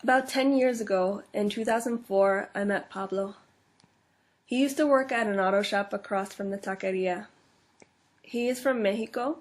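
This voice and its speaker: A woman's voice: mid-range voice, close to an everyday voice. A woman reading as a frightened immigrant mother in her thirties. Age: 30s. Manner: quiet, earnest, fearful first-person telling. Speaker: case-study reader. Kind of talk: scripted first-person case narration.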